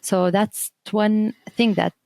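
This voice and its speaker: A woman's voice: mid-range voice, fairly even intonation, slow pace, vocal fry, a little mellow, dry recording. A woman who speaks Arabic as her first language, answering interview questions in English with hesitant "uh"s and frequent restarts. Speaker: guest, teacher trainer.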